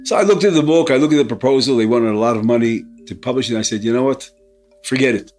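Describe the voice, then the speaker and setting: An older man from Israel, a publisher telling his story in an animated, self-mocking way. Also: mid-range voice, quick pace, faint music behind. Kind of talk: storytelling interview.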